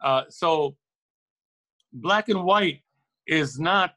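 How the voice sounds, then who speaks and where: A man's voice: a little high, drawn-out words, sharp tone. A middle-aged man from Lebanon speaking earnestly and reflectively as an interview guest, often repeating and rephrasing words for emphasis. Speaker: interview guest, record shop owner. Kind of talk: reflective, opinionated interview talk.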